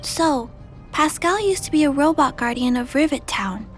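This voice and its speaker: A woman voicing a gentle, curious little girl in a video game, musing thoughtfully and wondering aloud. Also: sits high, animated, moderate pace, soft background music. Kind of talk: video game character dialogue.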